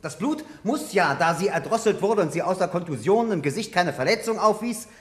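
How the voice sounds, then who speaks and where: An actor playing an indignant defence lawyer in a radio crime play, speaking formally and with measured insistence. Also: male voice, high-pitched, expressive. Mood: insistent.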